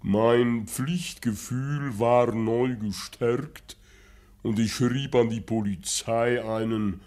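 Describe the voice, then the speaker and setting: An actor playing a self-righteous, moralizing professor in a German radio play, speaking in a formal, pompous and measured way. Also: male voice, medium pitch, steady tone, darkish tone, natural voice. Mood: pompous justification.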